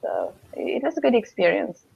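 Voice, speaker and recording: A young woman in her twenties from Finland, a native Finnish speaker with a Finnish accent, answering interview questions over a video call and often pausing to hunt for English words. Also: mid-range voice, huge pitch swings, vocal fry, slightly rough. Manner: hesitant, searching for English words, many fillers. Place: small room at home, indoors. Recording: dull lo-fi video call audio.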